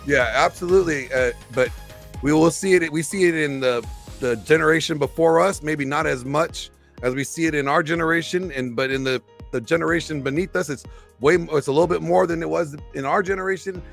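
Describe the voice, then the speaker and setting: A man in his forties with a gravelly, creaky voice pitched a little high. He talks haltingly on a video call, circling back and restarting his sentences. Faint music plays behind him. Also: fairly bright tone. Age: 40s. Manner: halting, full of fillers and restarts. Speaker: podcast host. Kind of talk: casual debate on a video call. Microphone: studio mic on a video call.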